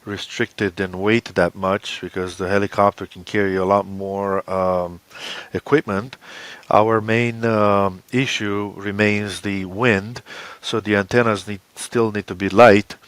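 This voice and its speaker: Halting low-voiced man with smooth tone. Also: flat delivery, a bit bright, no echo. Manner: halting, hesitant, with very frequent uhs. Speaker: interviewed expedition co-leader. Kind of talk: interview answers, explaining plans.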